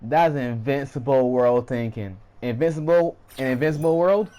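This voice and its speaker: A man's voice: medium pitch, normal speed, warm tone. A man giving casual, off-the-cuff commentary as he reacts to a video game. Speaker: gamer commenting on cutscenes.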